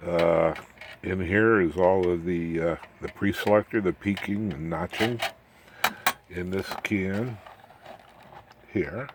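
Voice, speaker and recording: A man's voice: low-pitched, takes it slowly, long pauses, background noise. A man giving an informal, rambling hands-on electronics walkthrough in a folksy way with dry humor, recorded on a handheld camera. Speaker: electronics hobbyist host. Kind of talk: informal workshop walkthrough, explaining as he goes. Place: indoor home electronics workbench. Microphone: handheld camera or phone mic, off screen.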